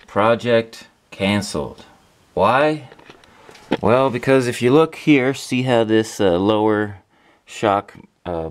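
A young man chatting casually in a laid-back, slangy, sweary way as he hosts a car repair vlog from his garage. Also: mid-range voice. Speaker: host of a DIY car repair channel. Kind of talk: casual vlog chat while working. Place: garage workshop with open door.

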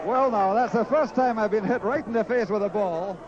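A man's voice: sits high, normal speed, crowd noise. A man doing live football commentary for radio into a lip microphone. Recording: dull, noisy stadium lip-mic broadcast.

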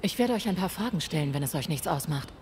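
An actress playing a composed, stern female ghost hunter in a video game, speaking formally, measured and direct. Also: low-pitched, lively intonation, darkish tone. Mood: calm, probing. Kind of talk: video game dialogue acting. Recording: faint ambient noise.

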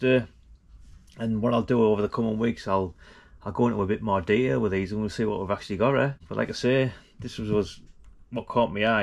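Middle-aged man, gravelly. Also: on the low side. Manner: informal, chatty, blokey. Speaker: vlog host. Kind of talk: casual vlog chat.

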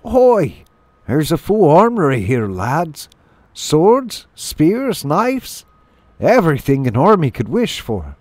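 A man's voice: a little high, wildly swooping pitch, slow, a little mellow, no echo, pitched above the performer's own voice, full character voice. A man voicing an eager, boisterous hedgehog sailor in a storybook, hearty and full of exclamation. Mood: excited. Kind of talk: storybook character voice.

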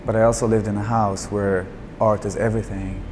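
A man in his thirties from Syria, with a Syrian Arabic accent, speaking in a soft-spoken, reflective documentary interview. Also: on the low side, level tone, a little fast, slight background noise. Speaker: artist. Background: background music.

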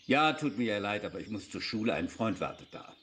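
A man's voice: on the low side, lively intonation, fairly quick, fairly bright tone. An actor playing a shifty, irritable male schoolteacher in a radio play. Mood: evasive. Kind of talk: hobby German radio play acting. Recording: faint noise with birdsong.

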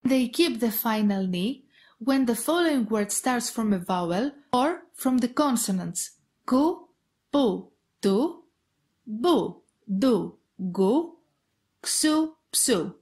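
A woman with a Greek accent teaching a pronunciation lesson, saying each example word twice, slowly and deliberately. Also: fairly high pitch, lively intonation, a little mellow. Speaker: Greek language tutor. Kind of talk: slow, careful language lesson.

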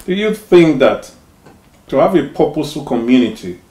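A man's voice: a little high, big pitch swings, moderate pace, dark tone. Male host asking short questions, roomy. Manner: prompting with short leading questions. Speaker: interview host. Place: living room indoors.

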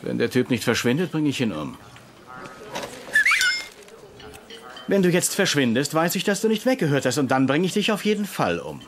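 Sardonic doctor, wildly swinging intonation. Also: male voice, on the high side, sing-song, quick pace, noisy background. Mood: menacing. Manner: sarcastic, mocking, swinging delivery. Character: sardonic, teasing male hospital doctor. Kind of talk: German TV comedy dub acting.

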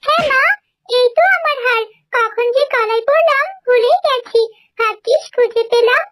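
A woman's voice: extremely high pitch, moderate pace, a bit bright, dry recording. A woman voicing a spoiled, whiny young stepsister who accuses others, in a fairy-tale cartoon. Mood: self-centred.

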